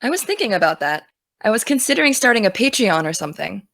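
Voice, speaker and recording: A woman's voice: medium pitch, big pitch swings, quick pace, a bit bright, no echo. An actress playing a gung-ho teenage girl podcaster, teasing and friendly, in a naturalistic audio drama. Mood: keen, friendly. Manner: teasing, enthusiastic.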